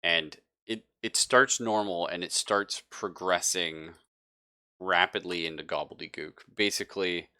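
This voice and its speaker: A man with a deep but bright, forward voice acts as game master for a tabletop horror game. He improvises his narration in a chatty, emphatic way, often paraphrasing as he explains. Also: steady tone, a little slow, no echo, studio quality.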